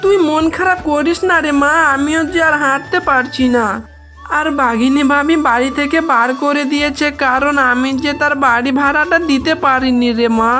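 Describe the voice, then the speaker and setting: A woman voicing a poor, gentle widowed jackal mother in a cartoon, plaintive and soothing. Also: high-pitched. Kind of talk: cartoon voice acting.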